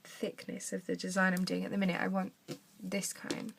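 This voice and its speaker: A young woman with a croaky voice from a cough, chatting through a henna craft demonstration at her desk at home. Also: mid-range voice, monotone, moderate pace, slight background noise. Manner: chatty, talks while drawing, drops to soft mutters. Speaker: craft tutorial maker.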